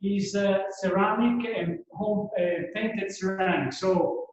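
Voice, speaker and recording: A man running a tour company, introducing guests with many pauses and fillers. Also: high voice, fairly even intonation. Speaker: tour operator and host. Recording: echoey dull audio from far.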